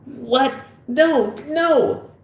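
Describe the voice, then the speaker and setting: Man acting a high-pitched panicky teenage boy. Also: extremely slow, roomy sound, full character voice. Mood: panicked. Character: panicky pleading seventeen-year-old boy.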